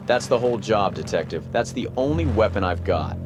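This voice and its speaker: A man's voice: lowish voice, animated, brisk, music in the background, close to an everyday voice. A man playing an eager, idealistic young newspaperman, urgent and punchy, in a noir radio drama.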